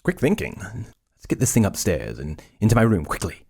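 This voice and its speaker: A man's voice: on the low side, huge pitch swings, normal speed, vocal fry, mellow, heavily acted. A man playing a secretive, intense scientist in an audio drama, speaking tersely and under his breath. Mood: furtive, intent. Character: secretive, intense male scientist conducting experiments.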